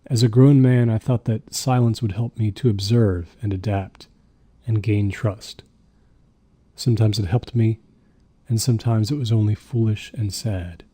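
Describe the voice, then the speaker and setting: A man's voice: lowish voice, fairly even intonation, unhurried, warm tone, dry recording. A man reading a first-person diary as audiobook narration, subdued and reflective. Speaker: audiobook reader.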